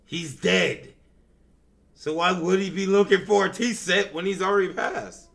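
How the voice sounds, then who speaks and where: A young man doing casual live reaction commentary as a game streamer, talking in slangy, animated bursts. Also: sits high, unhurried, gravelly.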